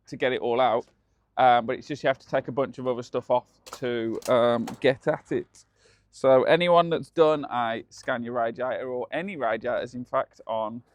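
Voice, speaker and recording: A man's voice: on the high side, no echo. A man with a Birmingham accent chatting casually and explaining a truck repair in a workshop. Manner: chatty, laughing, explaining while working. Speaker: channel host.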